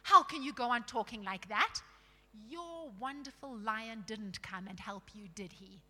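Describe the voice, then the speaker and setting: Loud, surly male dwarf acted by a woman, echoing. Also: on the high side, expressive, a little slow, exaggerated voice. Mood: indignant. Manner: colloquial, blustering, indignant.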